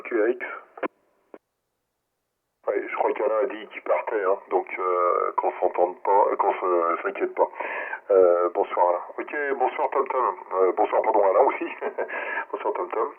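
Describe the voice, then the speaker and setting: A man's voice: lowish voice, a little slow, pauses often, breathy edge. A male amateur radio operator chatting casually over the air in a hesitant, rambling, technical way. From France. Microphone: radio transceiver microphone. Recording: noisy narrowband ham radio transmission.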